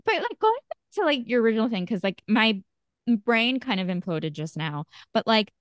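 Rambling, animated woman in casual chat. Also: fairly high pitch, normal speed, no echo, studio quality. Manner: rambling, animated, lots of fillers. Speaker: podcast co-host.

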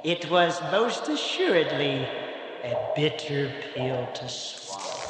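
A theatrical horror host narrates slowly with swooping, ominous delivery. His rather high voice is soaked in cavernous reverb over clear music, mock-formal and macabre. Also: sing-song, noisy background. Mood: ominous, gleeful. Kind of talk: scripted spooky narration. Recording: cavernous echo, music behind.

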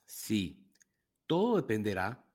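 A man's voice: lowish voice, very animated, slow pace, a little mellow, breathy edge. A man with a Colombian Spanish accent co-hosting a sports podcast, talking animatedly and restarting his sentences often. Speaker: podcast co-host. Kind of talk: casual sports talk.

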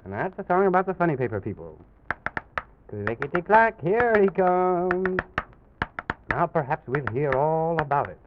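A man's voice: fairly high pitch, sing-song, normal speed. A man hosting an old-time children's radio story, talking in simple phrases aimed at young children. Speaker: children's show host and storyteller. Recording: noisy, muffled old radio recording with clicks.

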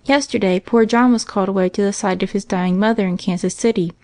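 A devoted frontier mother from a storybook, played by a woman reader. Her voice is mid-pitched and steady, with a dry, close sound. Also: quick pace, no room sound, natural voice. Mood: concerned. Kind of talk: storybook character voice.

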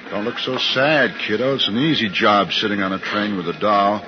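Actor as low-voiced, slangy tough detective. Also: male voice, moderate pace, crowd noise, close to an everyday voice. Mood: businesslike, tough. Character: brisk, slangy male police detective giving orders. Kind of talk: 1950s radio drama acting. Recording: dull vintage radio recording with music.

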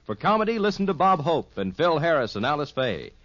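A dry, muffled vintage broadcast of an American man announcing. His voice is highish and rises and falls freely. The delivery is formal, polished network radio continuity. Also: big pitch swings, a little fast, a bit bright, dry recording.

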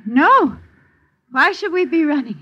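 A scheming, wary American landlady in a vintage radio play. She speaks urgently and defensively, her pitch leaping about, on a dull, dry old recording. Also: big pitch swings, a little slow, fairly bright tone, no room sound, exaggerated voice. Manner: urgent, defensive, plain-spoken. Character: scheming, suspicious, miserly landlady, sharp with husband. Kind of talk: 1950s American radio drama acting.

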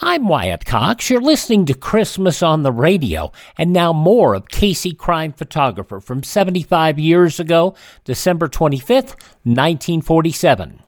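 A male radio host reads scripted introductions with lively, varied intonation. The sound is dry and close. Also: fairly high pitch, normal speed, no room sound. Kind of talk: scripted announcing.

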